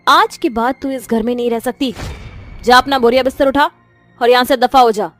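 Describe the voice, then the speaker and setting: A woman's voice: a little high, steady tone, normal speed, faint music behind, lightly acted. A woman voicing an emphatic, scheming daughter-in-law in a cartoon moral story. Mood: harsh, commanding. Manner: theatrical, emphatic. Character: scheming, cruel daughter-in-law, later a desperate, remorseful mother. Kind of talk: animated moral-story voice acting.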